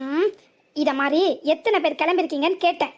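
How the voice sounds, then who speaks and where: A suspicious, scornful driver, voiced by a woman in a high voice that rattles off accusations at speed. He sounds loud and sarcastic throughout this cartoon scene. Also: a little fast. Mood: accusing. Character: suspicious, scolding male car driver. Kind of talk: animated story dubbing.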